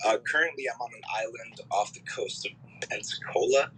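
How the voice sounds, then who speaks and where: A man's voice: mid-range voice, fairly quick, sharp tone, slight background noise, poor audio. A young man from Canada, a musician guest, chatting casually and rambling with lots of fillers and swearing. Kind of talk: casual interview chat.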